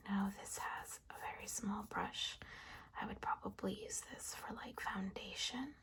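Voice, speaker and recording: Soft-spoken whispery woman, raspy edge. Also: mid-range voice, fairly even intonation, measured pace. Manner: chatty, rambling with fillers. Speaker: ASMR channel host.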